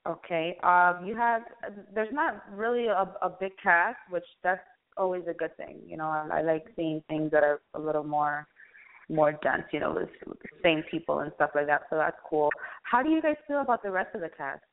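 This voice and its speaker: A woman's voice: fairly low pitch, normal speed. A woman hosting an interview in a casual, rambling way, often searching for her words. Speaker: interviewer, radio-style show host. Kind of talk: casual chat. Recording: thin phone-like band-limited sound.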